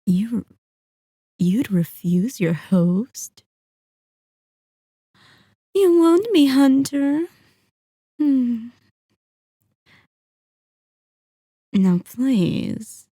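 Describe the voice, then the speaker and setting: A woman playing a seductive, sadistic vampire in an ASMR roleplay, courtly and teasing, with breathy murmurs. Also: medium pitch, mellow, no room sound, studio quality, heavily acted. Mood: coaxing, menacing. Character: seductive, sadistic, possessive female vampire, toying host. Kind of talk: ASMR roleplay, scripted character acting.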